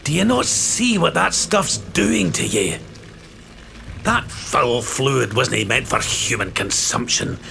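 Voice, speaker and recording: Gravelly Scottish old man. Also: mid-range voice, very animated, slow, sharp tone, soft background music, exaggerated voice. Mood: concerned.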